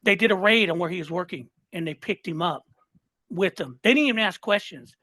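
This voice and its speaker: A man's voice: high voice, a little fast, sharp tone, no room sound, studio quality. A man in his sixties from Southern California, a listener guest talking politics in a fired-up way, often repeating and restarting words. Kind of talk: casual political chat.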